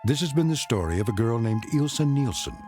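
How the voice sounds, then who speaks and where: An actor playing a solemn anthology narrator, delivering scripted radio drama narration. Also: male voice, mid-range voice, very animated, brisk, a little mellow, faint music behind, lightly acted.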